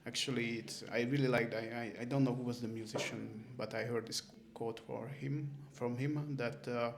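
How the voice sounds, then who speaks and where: A man's voice: medium pitch, moderate pace, creaky. A man from Hungary, a band member, telling stories in an interview with many repeated words. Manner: animated storyteller, repeats words. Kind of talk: casual interview answers.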